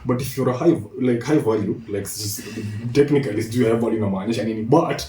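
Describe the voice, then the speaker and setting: A young Kenyan man speaks in a mellow tone from a little way off the mic, with strong room echo. He argues casually and opinionatedly, circling back over his points in English and Swahili slang. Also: mid-range voice, fast talker. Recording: echoey, noisy, distant from the mic.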